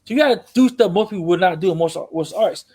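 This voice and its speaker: A man from Miami co-hosting a casual podcast chat, talking in easy slang with quick laughs and eager interjections. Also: sits high, a little mellow, husky. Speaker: co-host.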